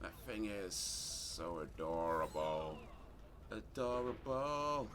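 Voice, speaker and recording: A man's voice: low voice, sing-song, slow, heavy background noise. A man hosting a casual livestream, chatting with viewers and a fellow player. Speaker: game streamer and host. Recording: loud music behind. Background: music.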